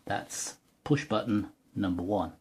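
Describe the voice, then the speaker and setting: A man's voice: lowish voice, slow pace, a little mellow, a little hiss or hum. A man giving a methodical, precise step-by-step technical demonstration as a tutorial presenter. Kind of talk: step-by-step hands-on product demonstration.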